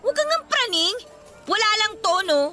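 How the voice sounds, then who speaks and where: A woman's voice: very high voice, big pitch swings, normal speed, over music. A woman acting as a sassy, feisty young student girlfriend in a Filipino radio romance drama, with a Filipino accent. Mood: irritated, defensive. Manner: sassy, sharp-tongued.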